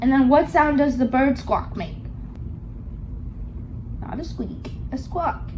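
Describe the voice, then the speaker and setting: A woman's voice: sits high, takes it slowly, darkish tone, dull audio, slight background noise. A woman reading a picture book aloud to a young child, coaxing along with questions in a sing-song, child-directed way. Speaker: storybook reader for a young child.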